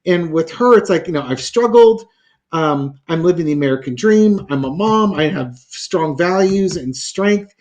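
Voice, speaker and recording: High-voiced man musing through a tarot reading. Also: animated, normal speed, a little mellow. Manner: musing, thinks aloud with many fillers and restarts. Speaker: tarot reader. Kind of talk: casual tarot reading chat.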